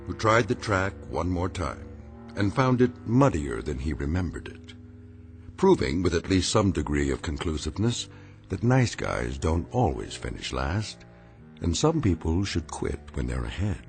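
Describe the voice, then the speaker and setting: An actor playing the wry storyteller of an eerie radio drama, delivering scripted narration with deliberate irony. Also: male voice, low-pitched, sing-song, vocal fry, faint music behind, exaggerated voice. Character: wry, ominous male storyteller of eerie tales.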